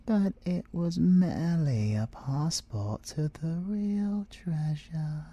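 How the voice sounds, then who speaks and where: Slow, dark-voiced British male siren, played by a man. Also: on the high side, animated, full character voice. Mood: smug, teasing. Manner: theatrical, teasing, slow and deliberate, slipping into whispers. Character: possessive, gloating male siren with wings and feathers. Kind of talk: ASMR audio roleplay.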